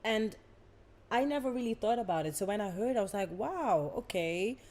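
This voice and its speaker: A woman's voice: mid-range voice, lively intonation, normal speed, a little mellow. A young woman from the Netherlands, hosting a podcast and chatting casually with her guest. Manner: chatty, asks questions, frequent fillers. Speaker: podcast host.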